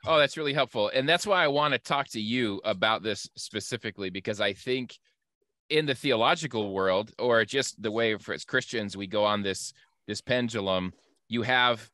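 A man's voice: lowish voice, moderate pace, sharp tone, no echo. A man in his thirties hosting a podcast in a casual interview chat, close on a studio mic. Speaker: podcast host. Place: indoor office with bookshelves, on a video call. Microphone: close dynamic studio microphone, headphones.

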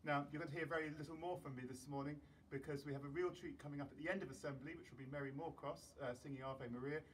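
A middle-aged British man, a headmaster, giving an informal address to camera in a halting, measured way. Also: fairly high pitch, fairly even intonation. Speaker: school headmaster. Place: outdoors in a large open school courtyard. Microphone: camera a few metres away. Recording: distant outdoor mic with background noise.

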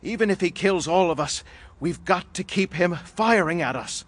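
A man playing a brave, desperate young agent shouting urgent orders in a pulp thriller audio drama. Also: high-pitched, expressive, normal speed. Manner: urgent, commanding.

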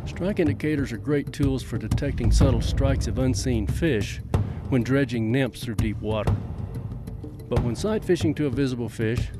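A man reading scripted instructional narration. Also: mid-range voice.

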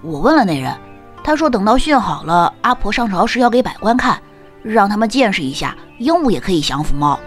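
A woman's voice: mid-range voice, big pitch swings, brisk, soft background music, natural voice. A woman playing an eager, mischievous young boy in a historical audio drama. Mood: excited, gossipy.

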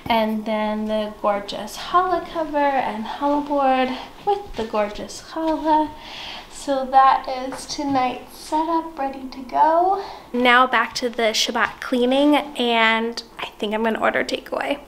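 A woman's voice: high voice, measured pace, a bit bright, a little hiss or hum, rough recording. A woman in her thirties chatting casually and informally in a home vlog, with a self-deprecating, conversational manner. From South African family background. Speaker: vlogger. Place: inside a house, open dining room and kitchen.

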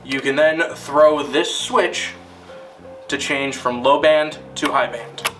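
A man narrating a scripted instructional film in a deliberate, formal, step-by-step manner. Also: on the high side, fairly bright tone, recorded from a distance, faint music behind.

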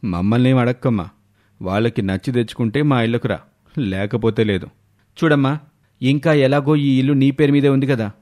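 A man's voice: mid-range voice, moderate pace, a little mellow, lightly acted. A man playing a callous, mocking elder son in a cartoon, condescending and dismissive. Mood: coldly dismissive.

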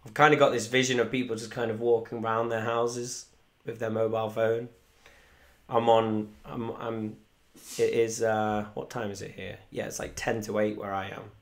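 Young male musician hosting a live stream, rambling and chatty, fairly monotone, desk mic at home. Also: on the low side, moderate pace. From Wales. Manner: chatty, rambling, reads viewer comments aloud. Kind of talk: casual live stream chat. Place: small room indoors at home. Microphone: desk microphone in front.

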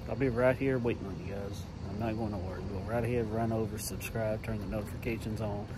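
A man's voice: on the low side, steady tone, fairly quick, background noise. A man talking casually and folksily to viewers as a video host, recorded on a handheld phone. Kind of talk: casual vlog talk to viewers. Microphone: phone at arm's length.

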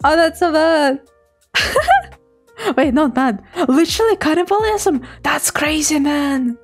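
Young woman VTuber, high-pitched, giggly and playful, casual chat with viewers at a home desk mic. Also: sing-song, takes it slowly, soft background music. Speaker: VTuber streamer. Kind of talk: casual chat reading chat messages.

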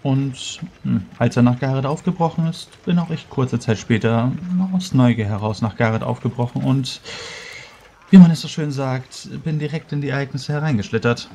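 Tabletop game: man as self-assured young male mage, courteous and deliberate, mid-range voice, light ambient noise. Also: mid-range voice, steady tone, warm tone, close to an everyday voice. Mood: polite recounting. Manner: hesitant, formal, deliberate. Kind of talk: tabletop roleplay in character.

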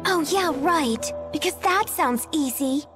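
A woman voicing an outspoken, perky young girl in a video game, blunt and expressive. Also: extremely high pitch, measured pace. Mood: bewildered, indignant. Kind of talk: English video game cutscene voice acting. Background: music.

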